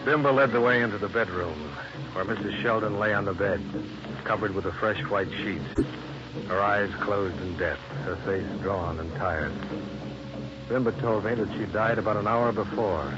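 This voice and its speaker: An actor playing a tough, dry American insurance investigator in hard-boiled old-time radio style. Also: male voice, fairly low pitch, moderate pace, lightly acted. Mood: grim, matter-of-fact. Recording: muffled, hissy old radio recording.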